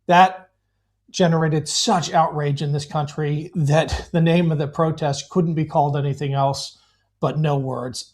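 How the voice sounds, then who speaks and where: A man's voice: fairly high pitch, darkish tone. A man who is a veteran, a guest on a live remote interview, speaking in an earnest, emphatic way.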